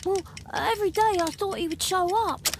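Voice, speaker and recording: A woman's voice: very high-pitched, brisk, background noise. A woman voicing an anxious, proud, poor young boy with a London accent, hesitant and respectful, in a video game. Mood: earnest, defensive.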